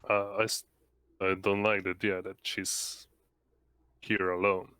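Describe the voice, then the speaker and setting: A man with a fairly low, clear voice takes part in a tabletop game. He talks casually, like a player among others. The sound is dry, with no room to it. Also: little pitch movement, a little slow, pushed and bright, no room sound.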